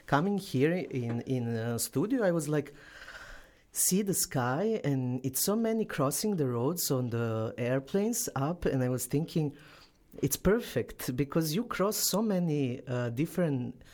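A woman hosting an interview in a studio, speaking haltingly and searching for words with many fillers. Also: low-pitched, lively intonation, dark tone. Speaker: podcast host.

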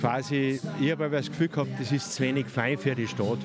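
Loud music plays behind a middle-aged or older man giving an interview. He has a Salzburg Austrian accent and a medium-pitched voice. He talks reflectively, circling back to rephrase. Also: steady tone, fairly quick. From Pongau, Salzburg, Austria.

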